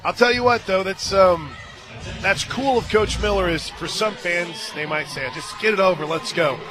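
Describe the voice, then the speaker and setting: A man doing live radio play-by-play commentary of a softball game as the announcer. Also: sits high, moderate pace. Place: outdoor softball stadium radio booth. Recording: stadium crowd noise and music behind. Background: crowd and music.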